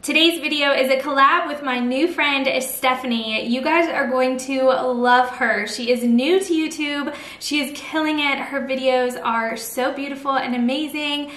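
A woman's voice: sits high, fairly even intonation, a little slow, few pauses, a bit bright. A woman giving a casual, friendly and chatty recipe walkthrough as a cooking vlogger. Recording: echoey room, music underneath. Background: background music.

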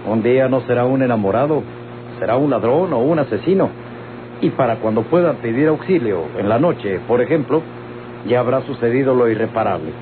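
A tinny, noisy vintage radio-novela recording carries an actor as a rich Mexican male landowner. He speaks formally and insistently, with lively swings in pitch, working to persuade. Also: fairly quick, lightly acted. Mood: insistent warning. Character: wealthy, persuasive male hacienda owner pressing women to sell. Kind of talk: old radio-novela acting.

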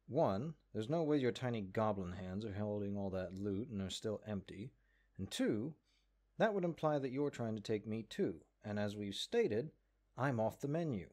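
Man voicing an exasperated, animated talking spellbook. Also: fairly low pitch. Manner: condescending, sarcastic, dramatically exasperated. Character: exasperated, haughty talking cursed grimoire, a sentient magic book. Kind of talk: comedic character audio roleplay.

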